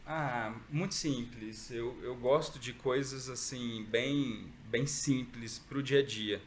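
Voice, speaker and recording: A middle-aged man with a Minas Gerais accent, a podcast guest telling stories in a relaxed, reflective way. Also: mid-range voice, takes it slowly, a little hiss or hum. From Brazil, raised in Minas Gerais. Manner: relaxed, reflective storytelling with frequent "né?". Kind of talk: interview conversation.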